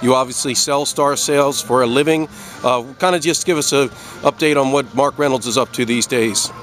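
A man's voice: mid-range voice, steady tone, moderate pace, fairly bright tone, faint music behind. A man working as an interviewer, asking conversational questions outdoors. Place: on a boat in a marina, outdoors.

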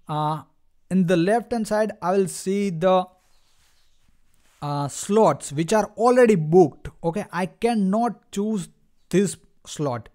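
A man with a slightly high voice leads a software tutorial. He talks through each step unscripted, stopping and starting as he explains. He speaks straight to the viewers as an instructor would. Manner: halting step-by-step explaining, often addressing viewers as guys. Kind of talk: screen-recorded software demo walkthrough, unscripted. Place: voice-over on a screen recording.

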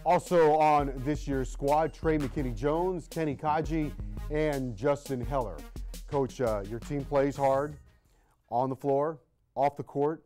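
A man hosting a college sports TV show, introducing topics and questioning the coach in a slow, deliberate delivery. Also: fairly high pitch, darkish tone, soft background music. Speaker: TV show host. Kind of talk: studio sports interview. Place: TV studio set. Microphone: lapel mic.

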